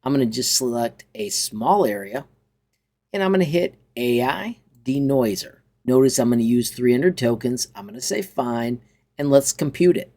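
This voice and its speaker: A man hosting an informal software tutorial in a chatty, enthusiastic way. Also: medium pitch, animated, normal speed. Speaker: tutorial host. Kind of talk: informal software walkthrough with upbeat sign-off.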